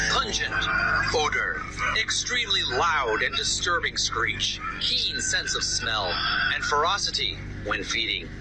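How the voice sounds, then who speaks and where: A man's voice: on the high side, rough recording. A man's synthetic voice reading encyclopedic text aloud, with odd stresses and misreadings. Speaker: narrator. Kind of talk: scripted encyclopedic narration.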